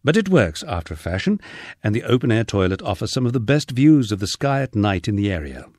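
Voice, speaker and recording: A man narrating a first-person memoir from a script, with a husky voice and a wry storytelling manner. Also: fairly low pitch, big pitch swings, brisk, darkish tone, dry recording, clean studio sound. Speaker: audiobook narrator.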